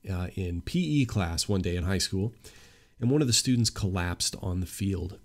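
Young male podcast host, congested, low-pitched, earnest and opinionated. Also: a little mellow. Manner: earnest, opinionated, grows emphatic. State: stuffy nose from a cold. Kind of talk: solo podcast monologue.

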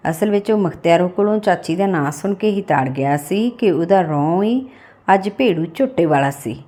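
A woman reads a literary story aloud as an audiobook narrator, with expressive delivery. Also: mid-range voice.

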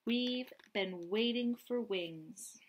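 A young adult woman reading aloud and explaining gently, as a teacher does for young children. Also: a little high, very slow, slight background noise. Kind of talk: children's read-aloud and lesson. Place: small lamp-lit room at home, indoors.

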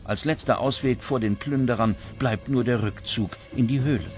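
A man's voice: mid-range voice, normal speed. A man reading scripted documentary narration as narrator. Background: music under the voice.

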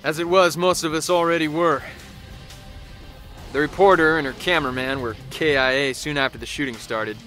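Bright, wry soldier narrator. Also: male voice, fairly high pitch, steady tone, normal speed, faint music behind. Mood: weary, wry. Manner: wry, colloquial. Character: cynical, battle-weary male soldier recounting war. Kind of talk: narrated war journal.

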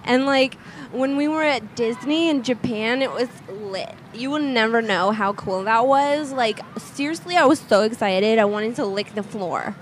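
Woman in her thirties, fairly high pitch, slangy, improvised prank call, faint noise. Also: moderate pace. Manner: slangy, uses filler "like". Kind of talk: improvised prank call as a gushing tourist wife. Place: small decorated indoor studio. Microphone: close studio mic on a boom arm.

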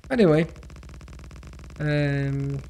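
A man's voice: on the high side, drawn-out words, a little mellow, a little hiss or hum, rough recording. A man from Denmark with a Danish accent, chatting casually on a game livestream and answering chat as he plays. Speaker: streamer.

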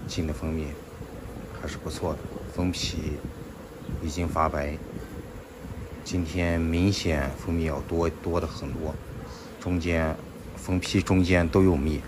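Guy with a really deep voice narrating beekeeping casually, outside, noisy with insects buzzing. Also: steady tone, takes it slowly, long pauses. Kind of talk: casual narration of hands-on work. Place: outdoors at open beehives. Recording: noisy outdoors, buzzing bees.

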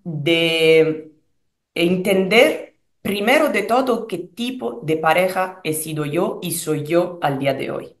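A woman in her thirties from Italy, with an Italian accent, answering interview questions as a relationship coach over a video call in a room at home, expressive and pausing with fillers. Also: fairly low pitch, roomy sound. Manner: expressive, searching for words with fillers. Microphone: video call webcam.

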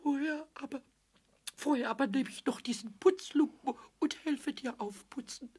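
High, plaintive little kobold. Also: male voice, normal speed, a little mellow. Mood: remorseful, pleading. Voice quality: high, squeaky voice. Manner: stammering, repeating, plaintive. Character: small impish male kobold, childlike, pleading and emotional. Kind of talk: German children's radio play acting.

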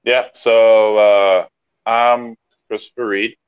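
A man's voice: on the low side, flat delivery, slow pace, smooth. A young man in his twenties from South Africa, talking easygoing and plainly as a guest on a video call. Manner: easygoing, plain, many ums. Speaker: guest swimmer. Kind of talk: casual interview on a video call. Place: home room. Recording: dull lo-fi call audio.

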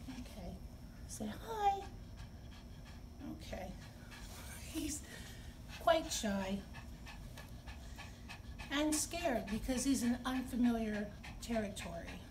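A middle-aged woman speaks to young children as a story time host, with simple, expressive phrasing. Her voice is high and her pace unhurried. She is recorded indoors from a little way off, with noticeable background noise. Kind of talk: read-aloud and talk for young children. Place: indoor library children's room. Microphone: camera a few feet away. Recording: distant camera mic, clear background noise.

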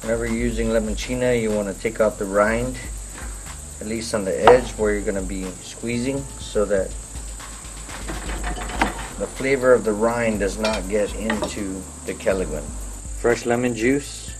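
A middle-aged man hosting a casual outdoor cooking demonstration, giving relaxed, informal instructions as a chef. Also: fairly low pitch, moderate pace, over music. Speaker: chef and cooking show host. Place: outdoor patio at night.

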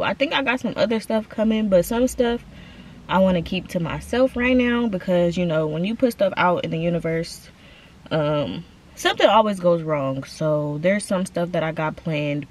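A woman's voice: on the low side, animated, moderate pace, slight background noise. A young woman talks straight to her phone camera from the driver's seat of a parked car. Her speech is loose and slangy and keeps circling back to her audience. It sounds close and unpolished, like a casual car vlog. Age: 20s. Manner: chatty, slangy, addresses viewers as y'all. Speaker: vlogger.

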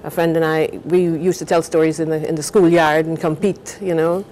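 An older woman from Guyana, a novelist, talking and reading aloud in a measured, thoughtful way on a TV studio set. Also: deep voice, little pitch movement, mellow, poor audio. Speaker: novelist guest.

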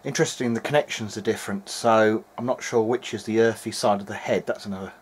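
A man's voice: lowish voice, steady tone, fast, slight background noise. A middle-aged man talking through a repair in a hesitant, meandering, matter-of-fact way, as in a video diary. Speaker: electronics repair hobbyist. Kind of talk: video diary, thinking aloud while working. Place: indoor workbench.

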